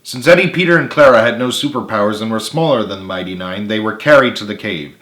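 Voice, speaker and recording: A man's voice: lowish voice, quick pace, a bit bright. A man reading a story aloud as a dramatic narrator in an echoey room. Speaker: author reading own book.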